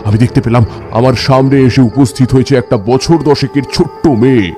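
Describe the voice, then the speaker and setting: A man's voice: mid-range voice, fairly even intonation, fairly quick, dark tone, faint music behind, lightly acted. A man playing a devout tantric ascetic, narrating his eerie encounters in an intense, dramatic audio story style. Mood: awed, suspenseful. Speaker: first-person narrator. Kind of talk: dramatic scripted horror narration.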